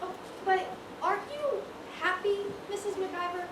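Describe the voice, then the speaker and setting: Woman as young shop assistant, polite, excitable, very high animated voice, amateur play, distant noisy recording. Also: unhurried. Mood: deferential, chatty. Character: eager, deferential young female shop assistant. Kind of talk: amateur stage acting, one-act school play. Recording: echoey stage, distant mic, clear noise.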